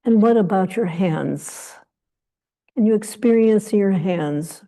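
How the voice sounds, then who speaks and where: Woman, meditation teacher, very slow pace, soft-edged mellow tone, gentle short phrases, long pauses. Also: medium pitch. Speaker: meditation teacher leading a guided meditation. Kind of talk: guided meditation, spoken instruction with long pauses.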